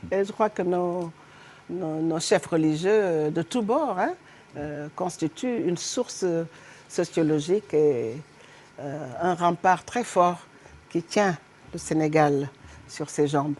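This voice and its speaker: A middle-aged woman from Senegal speaks as a political guest on a TV studio set, her voice notably low for a woman. She talks in an animated, emphatic way, often stopping to hesitate before pressing her point. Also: takes it slowly. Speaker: guest politician, party honorary president. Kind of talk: political TV interview.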